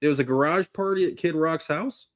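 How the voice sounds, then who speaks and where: A man's voice: fairly high pitch, brisk. A man in his forties co-hosting a casual panel chat, full of questions and pushback. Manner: quizzical, pushes back with questions.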